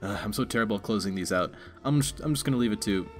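Fast-talking, low-voiced casual man. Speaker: podcast writer and producer. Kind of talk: casual scripted-show plug. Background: music.

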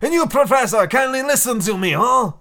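A man voicing a commanding audiobook character who urges calm in an exaggerated, amateurish French accent. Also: extremely high pitch, fairly quick, voice pushed up, exaggerated voice.

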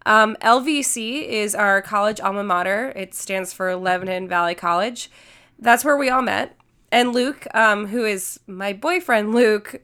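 A woman's voice: medium pitch, bright, forceful tone, smooth. A young woman hosting a podcast, upbeat and chatty with many "um" fillers, her voice congested from a flu. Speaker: podcast host. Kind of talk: podcast intro and interview.

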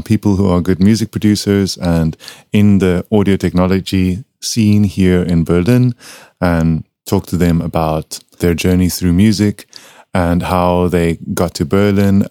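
A young man from South Africa hosting a casual podcast from his home studio, talking in a relaxed, rambling way with many fillers. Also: deep voice, no echo. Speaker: podcast host.

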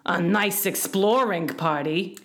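A woman's voice: mid-range voice, sing-song, measured pace, voice pushed up. A woman voicing a cross, fretful old male wasp in a storybook, grumbling in rustic, ungrammatical speech. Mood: peevish, grumbling.

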